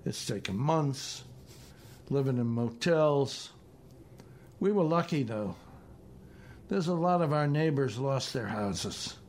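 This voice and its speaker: Quiet-spoken older retired military man, read by a narrator. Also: medium pitch, animated, slow, long pauses. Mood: resigned.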